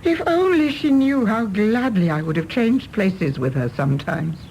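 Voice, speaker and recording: Actress as a genteel, expressive British society woman. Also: mid-range voice, moderate pace, a little hiss or hum, natural voice, rough recording. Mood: concerned, wistful. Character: sympathetic society woman with a scandalous past, candid. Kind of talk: British radio play acting.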